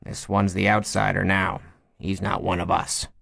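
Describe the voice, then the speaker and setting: A male narrator lightly puts on a plainspoken woman official who is holding herself together. She talks in an easy, conversational way, only a touch higher than his own low voice, in a dry, close recording. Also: level tone, moderate pace, creaky, a bit bright, no room sound, full character voice. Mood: wry. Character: shaken but composed woman official, plainspoken.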